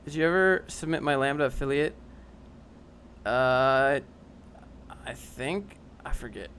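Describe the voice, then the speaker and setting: A man's voice: medium pitch, unhurried, a bit bright, a little hiss or hum. A young man casually reading and answering chat on a livestream, dry and sarcastic, often rambling.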